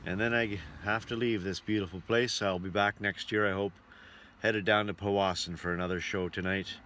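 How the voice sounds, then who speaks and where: Deep-voiced man vlogging. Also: fairly even intonation, normal speed, bright, forceful tone. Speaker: travelling entertainer and vlogger. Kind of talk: casual travel vlog chat. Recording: outdoor ambience and vehicle noise.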